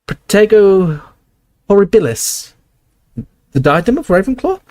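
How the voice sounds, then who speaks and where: A man doing a squeaky tiny old professor. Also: big pitch swings, unhurried, a little mellow, no echo, clean studio sound. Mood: startled, brisk.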